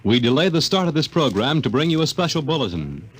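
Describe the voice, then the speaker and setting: A man reading a 1950s radio news bulletin in a crisp, formal newsreader manner. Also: on the high side, sing-song, fast. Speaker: news announcer.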